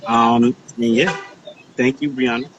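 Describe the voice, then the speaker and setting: A man's voice: mid-range voice, fairly even intonation, measured pace. Laid-back man hosting a noisy video call. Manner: laid-back, repeats words, laughs often. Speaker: host. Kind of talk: casual livestream chat.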